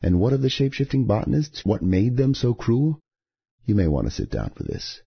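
A man hosting a poetry podcast, reading scripted material in a measured, deliberate way with a husky voice. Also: medium pitch, wildly swooping pitch, lo-fi sound. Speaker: podcast host and poetry reader.

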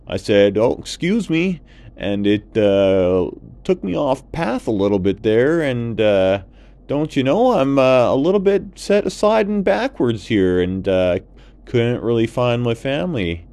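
A man plays a friendly storybook goose with a folksy Canadian accent. The voice is pushed up high and loud, rambling along politely and hesitantly in a bumbling way. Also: lively intonation, a little slow. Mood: sheepish, chatty. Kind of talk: children's bedtime storybook character.

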